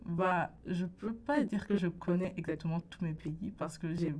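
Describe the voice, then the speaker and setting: Young woman, low and flat. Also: moderate pace. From Burkina Faso. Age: late teens. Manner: soft-spoken, searches for words. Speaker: interview guest. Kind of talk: radio interview. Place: radio studio.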